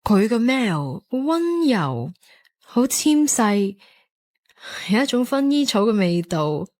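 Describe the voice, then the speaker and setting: A woman acting a lively young university student in a radio drama, playful, defensive and wistful by turns. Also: a little high, slow. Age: young adult. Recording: crowd chatter behind the voice.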